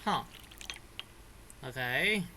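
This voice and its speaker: A man's voice: mid-range voice, animated, drawn-out words, noisy background. A man giving casual, informal reaction commentary as he streams a game. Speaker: gaming streamer.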